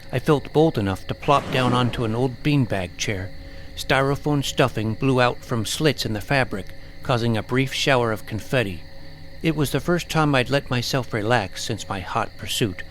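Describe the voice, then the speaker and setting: Man, medium pitch, first-person story narrator, scripted delivery, noisy ambient effects behind. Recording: clear background noise, sound effects.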